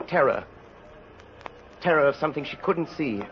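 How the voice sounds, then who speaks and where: A man's voice: a little high, lively intonation. A man narrating an old radio drama as a grave, suspenseful storyteller, intense and theatrical. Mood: dread. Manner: intense, theatrical, deliberate phrasing. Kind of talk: dramatic scripted radio narration, 1930s-40s. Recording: dull, noisy old radio recording.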